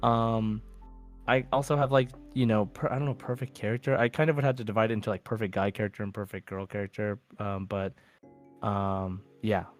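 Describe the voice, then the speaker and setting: A man's voice: fairly low pitch, little pitch movement, a little fast. Casual male podcast host over music.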